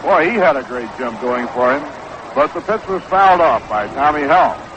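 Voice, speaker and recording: A man calling baseball play-by-play on 1960s radio, measured and descriptive, on an old broadcast recording. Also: a little high, animated. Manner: measured, descriptive calls that quicken on action. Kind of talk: 1960s live radio sports commentary. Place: outdoor ballpark broadcast position. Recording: noisy, dull vintage 1960s radio broadcast.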